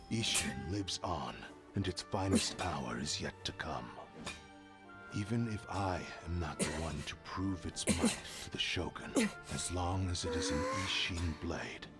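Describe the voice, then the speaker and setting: A deep, grave ancient male spirit of a blade, speaking in formal, archaic phrases over prominent music. He is proud yet repentant, in dramatic game style.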